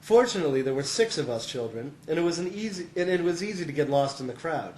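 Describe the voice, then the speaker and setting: A man's voice: a little high, animated, fast talker, darkish tone, echoey room, slight background noise, poor audio. A man reading a humorous first-person essay as scripted narration, sounding amused and often close to chuckling.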